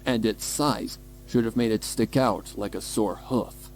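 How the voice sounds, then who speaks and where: A man's voice: lowish voice, measured pace, a little mellow, faint music behind. A man reading scripted, dramatic story narration. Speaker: fan fiction narrator.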